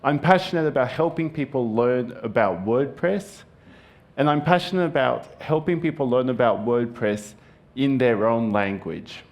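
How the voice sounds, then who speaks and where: A young man whose first language is Japanese stands at a conference podium and talks earnestly about a subject he cares for. His voice sits in an ordinary middle register. He fills pauses with relaxed ums and keeps the tone friendly. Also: mid-range voice. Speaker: conference speaker. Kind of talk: conference presentation. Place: conference hall.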